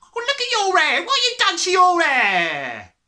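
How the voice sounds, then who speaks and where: A woman voicing a shrill, scornful middle-aged British mother who scolds and nags in a storybook. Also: huge pitch swings, unhurried, bright, forceful tone, exaggerated voice. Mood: horrified. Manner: shrill, exclamatory, nagging.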